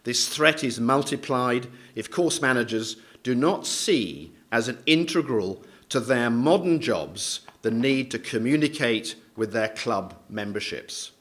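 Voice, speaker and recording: A man hosting a golf event, delivering a measured, formal introduction of the next speaker. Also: mid-range voice, a bit bright. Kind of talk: prepared introduction.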